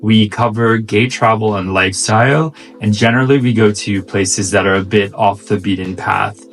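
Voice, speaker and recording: A man's voice: lowish voice, normal speed, faint music behind. A man in his thirties from the United States with an American accent, co-hosting a casual travel chat in a fluent, easygoing way. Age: 30s. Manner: fluent, easygoing, relaxed storytelling. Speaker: co-host.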